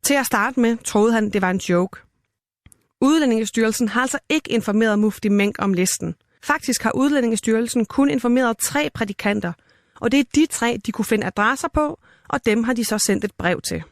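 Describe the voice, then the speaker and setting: A woman's voice: a little high, fairly quick, no room sound. Danish woman interviewer, dry sound. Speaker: journalist interviewer. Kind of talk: radio interview and narration.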